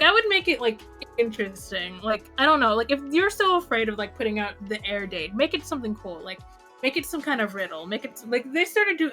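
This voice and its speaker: A woman's voice: a little high, sharp tone. A woman hosting a casual podcast, talking in a rambling, informal way with lots of "like". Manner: rambling, heavy use of "like". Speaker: podcast host. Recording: music behind the voice.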